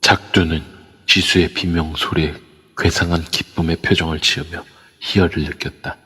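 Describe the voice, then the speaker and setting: Man, narrator, very deep, husky, long reverb, audio drama narration. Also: fairly even intonation, normal speed, sharp tone. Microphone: phone via a voice chat app.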